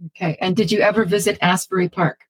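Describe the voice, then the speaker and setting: A woman's voice: medium pitch, fairly even intonation, fast talker, breathy edge, no room sound, poor audio. A woman hosting a podcast interview, introducing her guest and asking questions with enthusiastic, effusive delight. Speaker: podcast host.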